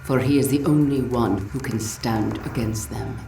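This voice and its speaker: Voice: medium pitch, steady tone, normal speed, gravelly, dark tone, soft background music, lightly acted, poor audio. A performer playing an intense, zealous conspirator scientist in a video game, with a dramatic, earnest delivery. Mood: ominous. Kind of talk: video game cutscene acting.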